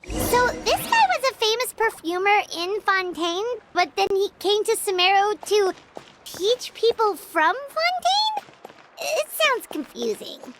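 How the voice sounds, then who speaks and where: A woman voicing a tiny, chatty floating girl companion with a high, squeaky, childlike voice. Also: big pitch swings, slow pace, very noisy, full character voice. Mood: chirpy. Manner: bubbly, exclamatory. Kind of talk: game voice acting.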